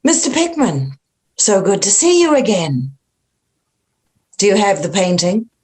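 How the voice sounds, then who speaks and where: A woman's voice: mid-range voice, big pitch swings, a little slow, a little mellow. A woman playing an ancient, inhuman cult acolyte in a horror script read, speaking with grandiose, taunting deliberation. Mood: sinister, grandiose. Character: sinister ancient female thing, cultic acolyte of cosmic gods, grandiose, taunting. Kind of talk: dramatic horror table read.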